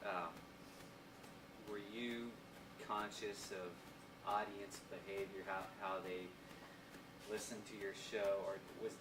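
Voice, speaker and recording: A man asking questions as an interviewer in a conversational style. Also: lowish voice. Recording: roomy, noisy, distant microphone.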